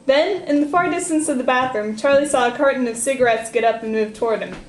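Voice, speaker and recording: A woman's voice: high voice, quick pace. A woman reading a comic story aloud in a playful, giggly manner. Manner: playful, often breaking into giggles. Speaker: reader. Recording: roomy echo with noise.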